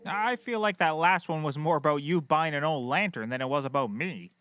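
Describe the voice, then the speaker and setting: A man playing a petty, excitable, bombastic private investigator with a bickering, theatrical delivery in a comedy. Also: fairly high pitch, fairly quick, clear, resonant tone, lightly acted. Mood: petulant.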